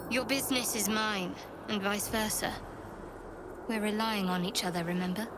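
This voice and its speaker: A composed female half-elf cleric from a fantasy game, played by a woman, in a mid-pitched voice. She is guarded and dryly teasing, with a supportive streak. There is loud ambient noise behind her. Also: steady tone, moderate pace, natural voice. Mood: wry, encouraging. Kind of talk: video game character acting.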